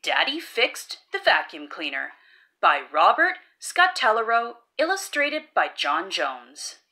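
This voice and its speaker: A woman's voice: medium pitch, huge pitch swings, slow, pushed and bright. A woman hosting a children's read-aloud, reading a rhyming picture book in a playful, sing-song way. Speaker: storybook read-aloud host.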